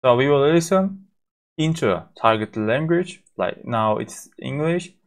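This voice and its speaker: A man with a Turkish accent, a native Turkish speaker, teaching a course by walking through a software demo, with frequent fillers and halting restarts. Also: medium pitch, lively intonation. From Turkey. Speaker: course instructor.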